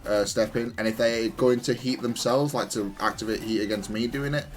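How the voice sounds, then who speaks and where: A man's voice: mid-range voice, fairly even intonation, normal speed, soft background music. A man giving off-the-cuff commentary on his own fighting game replays, explaining things in a hesitant, stop-start way. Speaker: gamer analysing own matches.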